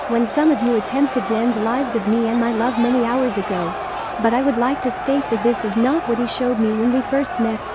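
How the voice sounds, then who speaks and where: A woman playing a solemn non-human heroine who addresses humankind in formal, measured oratory. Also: fairly high pitch, natural voice. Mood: solemn, reproachful. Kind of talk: formal dramatic speech. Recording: very noisy, loud outdoor crowd behind.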